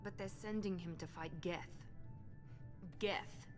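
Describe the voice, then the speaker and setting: A grave female operative in a game cutscene; lower voice, urgent, score underneath. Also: slow, music in the background. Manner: serious, urgent. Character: grave woman operative warning of a threat. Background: orchestral game score.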